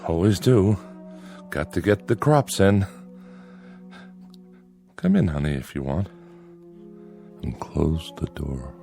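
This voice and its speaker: A man's voice: low-pitched, lively intonation, slow, gravelly, long pauses, mellow, soft background music, exaggerated voice. A man playing a nervous farmer father with an American accent in a radio drama, folksy, hesitant and far too agreeable. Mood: weary, guarded.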